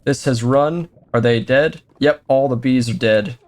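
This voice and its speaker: A man giving casual, self-chatting commentary as he plays a video game, thinking aloud with jokey asides and bursts of excitement. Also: mid-range voice, measured pace. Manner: sudden excited exclamations. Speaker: let's play host.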